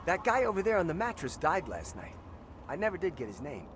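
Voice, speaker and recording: A high, animated voice of a timid captive in a video game. The slave sounds earnest, grateful and astonished, with faint noise behind. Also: male voice, fairly quick. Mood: amazed, grateful. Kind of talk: video game character dialogue.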